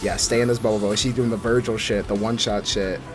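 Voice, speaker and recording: A man's voice: lowish voice, steady tone, fast. A man giving casual, slangy, profane reaction commentary as a gaming streamer. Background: loud game music.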